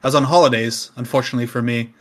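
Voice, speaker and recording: A man from Canada with a Canadian accent, chatting easily as a podcast guest, laughing often and filling pauses with ums. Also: medium pitch, fairly even intonation. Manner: easygoing, chatty, laughs often, many fillers. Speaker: game company community staff guest. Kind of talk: casual podcast chat.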